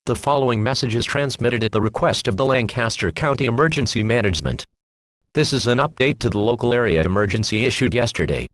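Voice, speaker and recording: A man reading scripted emergency alerts and weather bulletins as a radio announcer, in a flat, official, impersonal manner. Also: fairly low pitch, dry recording.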